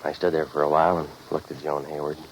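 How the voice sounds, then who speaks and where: An actor playing a cynical, deadpan private investigator in a scripted old-time radio detective drama. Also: male voice, rumbling low, fast. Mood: dry, sardonic. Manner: deadpan, sardonic. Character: cynical hard-boiled private investigator, wisecracking loner. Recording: noisy muffled old radio recording.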